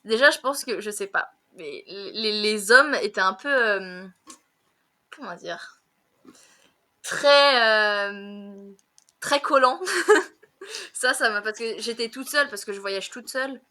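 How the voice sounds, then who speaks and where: A young woman tutoring French on a video call. She talks fast, laughs a lot and fills her speech with hesitations. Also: mid-range voice, animated, pushed and bright. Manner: fast-talking, giggly, many fillers. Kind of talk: casual conversation lesson.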